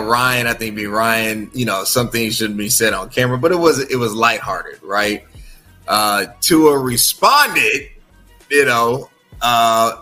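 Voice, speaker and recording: Bright-voiced male sports podcast host. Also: fairly low pitch. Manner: chatty, frequent "right?" tags. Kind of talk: casual sports talk.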